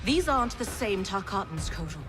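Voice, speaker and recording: An actress voicing a calm, principled female warrior who speaks in a measured, reproachful way in a video game cutscene. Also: mid-range voice, music in the background, close to an everyday voice. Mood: firm.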